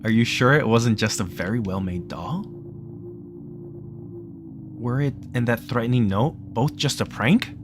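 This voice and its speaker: A man puts on a sharp young woman in a visual novel mystery. She is skeptical and pointed, pressing with questions over faint music. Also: medium pitch, a little slow, long pauses, lightly acted.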